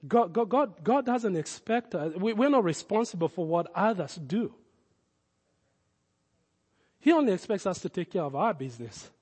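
A Kenyan-accented male pastor preaching in a high-pitched voice, pressing hard on his points and then dropping low and close. His words ring out with a long reverb from the church hall around him. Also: lively intonation, moderate pace, pauses often, slightly rough. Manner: impassioned preaching, rising loud then dropping low and intimate. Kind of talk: sermon.

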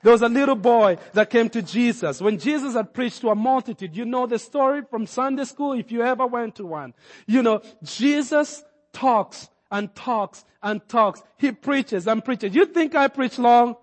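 A man from Kenya preaches in a high, ringing voice. His sermon climbs to forceful emphatic peaks, and he acts out the people in his stories. The sound carries a long hall reverb around him. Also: animated, moderate pace. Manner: preaching with rising emphatic peaks and acted-out quotes. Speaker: pastor.

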